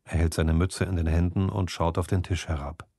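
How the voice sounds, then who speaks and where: A man reads a fantasy story as its narrator. His voice sits on the low side, and the recording is dry, with little room sound. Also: little pitch movement, moderate pace, creaky, dark tone, dry recording. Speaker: audiobook narrator. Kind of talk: scripted fantasy story narration in present tense.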